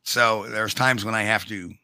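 A man with a New York accent chats on a live show in a folksy, blunt way. His voice sits low yet cuts bright and forceful, recorded close and dry. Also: steady tone, normal speed, no room sound. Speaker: co-host. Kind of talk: casual podcast chat with live chat.

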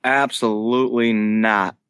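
A man in his late twenties speaks in a fairly low voice with very little room sound. He is a band vocalist giving casual, conversational answers as an interview guest. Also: level tone, slow, a bit bright, smooth, dry recording, studio quality. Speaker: guest, band vocalist who sings and screams.

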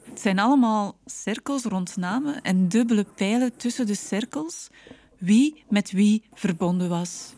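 A woman's voice: mid-range voice, expressive, measured pace, warm tone. A woman with a Flemish accent narrating a personal documentary from a script, as its host.

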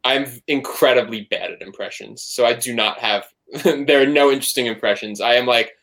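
A man's voice: mid-range voice, a little fast, creaky, a bit bright. Guest man, loose rambling talker, casual swearing, filler-heavy. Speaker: podcast guest, band member and photographer. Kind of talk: casual conversational interview.

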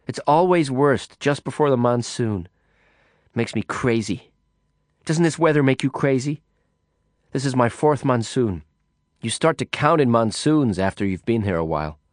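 A man's voice: mid-range voice, animated, unhurried, pauses often, no echo, studio quality, pitched above the performer's own voice, lightly acted. A man voicing a brash, hard-drinking woman in an audiobook, blunt, profane and sneering. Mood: drunk chatter. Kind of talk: audiobook character voice.

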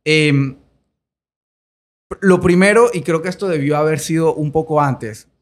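A man from Colombia hosts a casual podcast interview in a voice that sits a little high. His questions run long and wander, and he stops to correct himself along the way. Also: a little slow. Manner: rambling, self-correcting questions. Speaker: podcast host.

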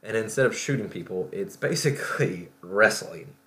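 A man hosting a podcast, talking casually about gaming news in a halting, rambling way, as if thinking aloud. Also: on the low side, expressive, sharp tone. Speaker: podcast host. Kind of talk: casual solo show talk about video game news.

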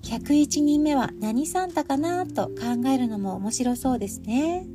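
A woman's voice: sits high, lively intonation, unhurried, music in the background. A middle-aged woman hosting a podcast alone, chatting politely and speaking directly to her listeners. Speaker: podcast host introducing picture books. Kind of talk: chatty solo podcast talk.

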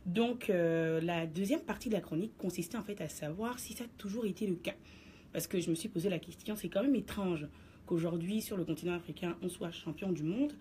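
A woman with a somewhat low voice delivers her radio column in a studio. She explains her argument to listeners in a firm, lecturing manner, with a faint hiss behind her. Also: a little fast, warm tone. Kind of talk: radio commentary segment.

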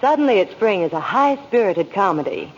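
Old time radio ad lady, husky voice, very lively up and down, echoey. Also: medium pitch, brisk, highs cut off. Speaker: commercial spokeswoman. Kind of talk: scripted 1940s radio ad dialogue.

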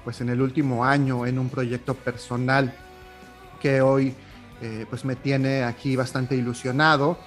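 Young Mexican man, podcast host, chatty monologue, average pitch, faint music bed. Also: flat delivery, measured pace. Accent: Mexican Spanish. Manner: chatty, warm-hearted, with filler "eh, pues".

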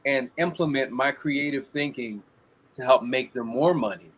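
A young man as a guest, chatting casually and acting out the lines he quotes in lively voices. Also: medium pitch, moderate pace, slight background noise. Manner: animated storyteller, acts out quotes. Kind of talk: casual interview talk. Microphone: video call.

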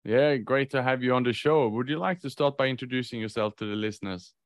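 A man's voice: mid-range voice, fast, no room sound. Podcast host man with dry close sound. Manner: friendly, scripted introductions, then conversational questions. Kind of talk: hosting an interview podcast.

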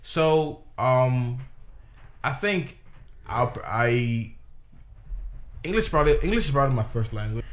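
A man from Ghana talks casually, his voice sitting at an ordinary middle pitch. He rambles a little, circling back with fillers and restarts. The tone is relaxed, like friends talking. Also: a little slow, pauses often. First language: a Ghanaian language. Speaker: interviewee. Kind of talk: casual conversation.

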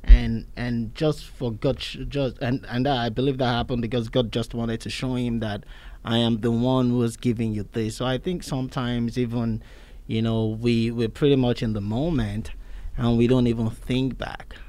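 A man co-hosting a faith podcast, relaxed, telling Bible stories with casual fillers. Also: medium pitch, normal speed. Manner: relaxed storyteller, Bible examples. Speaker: podcast co-host.

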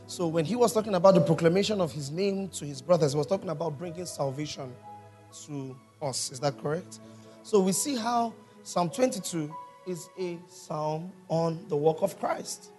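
A male pastor with a slightly raised pitch teaches through a sermon, stopping often to ask his congregation questions. Soft music sits faintly behind his voice. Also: moderate pace. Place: church hall with congregation.

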